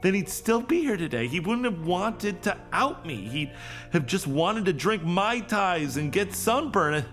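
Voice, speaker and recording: An actor playing an anxious, guilt-ridden HR professional in a podcast drama, agitated and self-blaming in a naturalistic style. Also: male voice, lowish voice, animated, a little slow, pauses often, a bit bright, faint music behind. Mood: distraught, bitter. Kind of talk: naturalistic audio drama acting.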